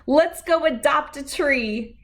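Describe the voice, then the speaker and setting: A woman's voice: sits high, takes it slowly, a bit bright, voice pushed up, heavily acted. A woman voicing an earnest, eager little girl in a storybook, insistent and devoted. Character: earnest, devoted little girl who loves her tree.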